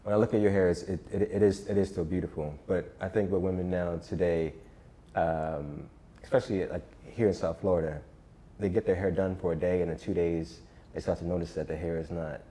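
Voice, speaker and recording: Deep mellow man hosting an interview. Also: steady tone, a little fast. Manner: repeats words when starting sentences. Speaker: host, interviewer. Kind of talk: casual interview.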